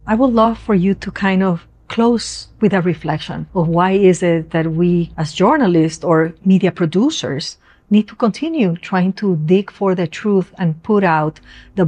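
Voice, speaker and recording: A woman's voice: on the low side, measured pace, a little mellow, no room sound. A woman from Ecuador interviewing a student on the radio, asking long, winding questions.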